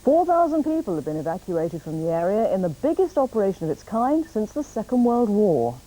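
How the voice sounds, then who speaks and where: A woman with a British accent reading scripted news in a formal, measured way. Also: mid-range voice, big pitch swings, mellow, rough recording. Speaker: newsreader.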